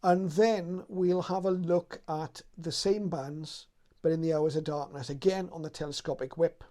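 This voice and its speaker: A man's voice: a little high, fairly even intonation, moderate pace, warm tone. A man hosting a radio how-to video, talking in a casual, unscripted and explanatory way.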